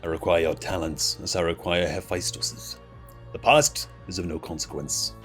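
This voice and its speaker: A man voicing a stern, commanding immortal ancient from a fantasy game in formal, solemn, measured declarations. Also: low-pitched, steady tone, fairly bright tone. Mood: calm, commanding. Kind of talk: dramatic reading of fantasy game dialogue. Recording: music under the voice.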